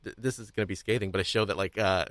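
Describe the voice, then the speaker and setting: A man's voice: deep voice, steady tone, racing, fairly bright tone, no room sound. A man co-hosting a podcast in casual chat, thinking aloud in a rambling, hesitant, jokey way. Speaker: podcast co-host.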